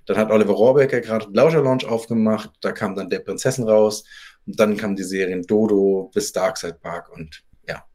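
A man's voice: on the low side, fairly even intonation, fairly quick, warm tone. A man speaking as an interview guest, an author telling anecdotes in a conversational way.

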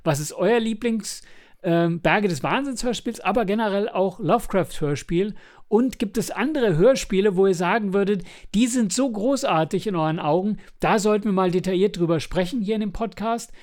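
A man's voice: sits high, normal speed, a little mellow. A man co-hosting a podcast in casual review chat, chatty and enthusiastic when recommending things. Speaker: podcast co-host.

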